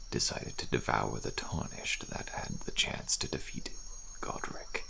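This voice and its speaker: An actor playing a wary, frail old deserter in a dark-fantasy ASMR roleplay, speaking slowly in archaic phrasing and often dropping to a murmur. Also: male voice, slight background noise, full character voice. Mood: wry warning. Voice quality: very deep, gravelly, breathy at times.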